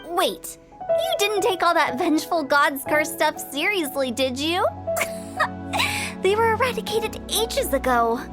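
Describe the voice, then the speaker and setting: A mischievous young female funeral director from a fantasy game, with a very high, light voice. She sounds sure of herself and drawls words out when she wants an effect. Clear game music runs behind her. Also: expressive, a little slow. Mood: candid.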